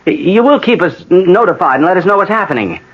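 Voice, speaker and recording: A man playing a worried, flustered husband in a radio drama, agitated and halting. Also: high voice, lively intonation, slightly rough. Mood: agitated, pleading. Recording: dull, hissy old radio broadcast.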